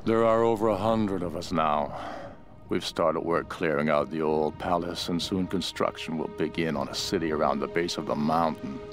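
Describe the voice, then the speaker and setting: Deep-voiced, hardened cult henchman. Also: normal speed. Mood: scheming. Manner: grim, hard-edged, world-weary. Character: hardened, cynical male cult henchman, ruthless survivor. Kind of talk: dramatic journal narration for a video game. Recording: music behind the voice.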